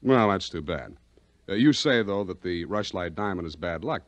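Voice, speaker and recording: An actor playing a wisecracking hard-boiled private detective in 1940s radio drama, dry and unflappable. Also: male voice, low voice, animated, normal speed, no room sound. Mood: wry.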